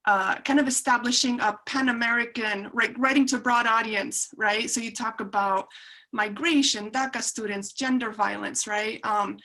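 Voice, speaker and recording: Bright-toned woman from Chile asking a question over a video call, hesitant. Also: fairly high pitch, steady tone, normal speed, low-quality recording. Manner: hesitant, many fillers, seeks agreement with "right?". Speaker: audience member asking a question.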